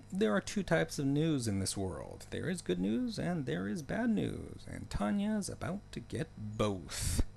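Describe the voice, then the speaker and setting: A man's voice: mid-range voice, gravelly, a little mellow, a little hiss or hum. A man narrating a story recap in a casual, loosely scripted way, with wry joking asides.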